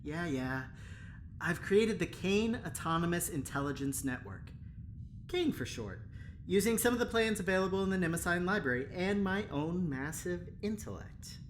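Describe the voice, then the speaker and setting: A low, very animated voice of a boastful engineer in an audio drama. He rambles eagerly and hesitates between proud claims. A faint rumble sits behind the recording. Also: moderate pace. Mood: nervous, proud.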